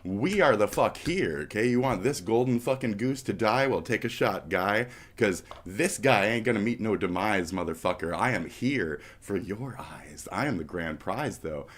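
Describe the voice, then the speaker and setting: A stuffy-nosed man hosts his live stream in a loose, profane ramble. His voice sits a touch low and congested, and he drifts into half-rapped riffs between swear words. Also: animated, moderate pace, hardly any pauses. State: stuffy, snotty nose. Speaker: streamer host. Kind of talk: casual live-stream rant.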